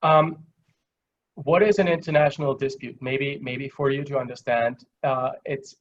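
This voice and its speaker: Austrian man lecturing, dull lo-fi audio. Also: medium pitch. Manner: halting, explanatory, conversational. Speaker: guest lecturer. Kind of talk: webinar lecture with simple examples. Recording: dull, lo-fi online call audio.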